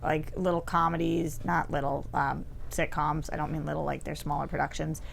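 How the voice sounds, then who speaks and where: A woman's voice: low voice, flat delivery, moderate pace, gravelly, a little hiss or hum. A woman speaking casually on a discussion panel, conversational and informal. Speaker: table read panelist.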